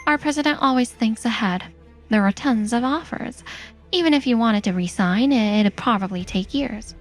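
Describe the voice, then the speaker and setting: An actress playing a cool, firm, dismissive secretary in a manga dub. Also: female voice, fairly high pitch, moderate pace, soft background music, lightly acted.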